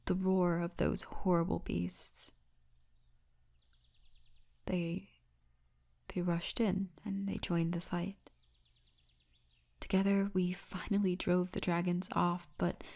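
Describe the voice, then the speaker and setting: Woman playing a soft, mellow female orc guide. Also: on the low side. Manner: soft, intimate, unhurried storytelling, often breathy. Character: friendly, teasing female orc tour guide, gentle and candid. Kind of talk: ASMR roleplay, addressed to the listener.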